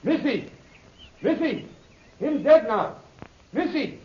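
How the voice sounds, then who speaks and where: A loyal native servant from an old-time jungle radio serial. His voice is husky and he speaks in broken pidgin, naming himself in the third person. The recording is dull and lo-fi. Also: very high-pitched, very animated, slow pace, exaggerated voice. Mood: urgent calling.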